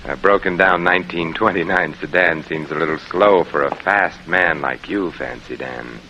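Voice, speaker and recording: Suave radio-play adventurer, very low forceful voice, sardonic, dry unhurried wit, cool under threat. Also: male voice, heavily acted. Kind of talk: 1940s radio drama acting. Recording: dull, noisy old radio recording.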